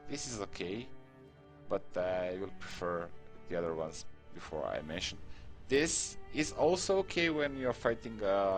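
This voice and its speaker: Croatian guy explaining a game build, low voice, slow and halting, faint music behind. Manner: halting, many fillers and restarts. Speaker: gaming tutorial creator. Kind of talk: game tutorial voice-over.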